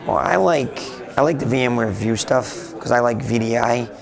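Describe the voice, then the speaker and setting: A man being interviewed casually at a convention, talking with a raspy, slightly hoarse voice and rambling with lots of restarts. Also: medium pitch, moderate pace. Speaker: interviewee, tech blogger. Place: busy convention floor. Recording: noisy crowded convention hall. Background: crowd chatter.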